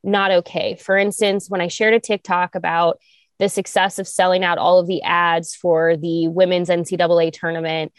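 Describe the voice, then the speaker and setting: A woman co-hosting a podcast, talking earnestly and emphatically, with some vocal fry. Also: on the low side, little pitch movement, no room sound. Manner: earnest, emphatic, speeds up and slows down. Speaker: podcast co-host. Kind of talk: candid podcast conversation.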